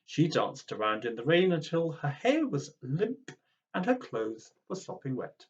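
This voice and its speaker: Animated man reading a children's story. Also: a little high, unhurried, dull audio. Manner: animated, dramatic.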